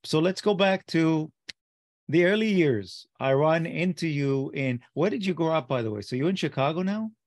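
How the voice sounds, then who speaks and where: A man's voice: a little high, lively intonation, moderate pace. A middle-aged man with an Israeli accent hosts an interview over a video call from his home office. His voice sounds dry and close with no room echo. He asks loose, conversational questions. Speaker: host, interviewer. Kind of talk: casual interview talk.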